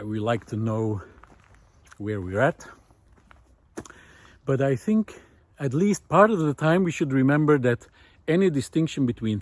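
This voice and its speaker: A man talking reflectively while walking on a forest path outdoors, pausing often with fillers and lifting his voice on key points. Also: medium pitch, darkish tone, dry recording. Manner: thoughtful, many pauses and fillers, rising emphasis on key points. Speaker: nature video essayist.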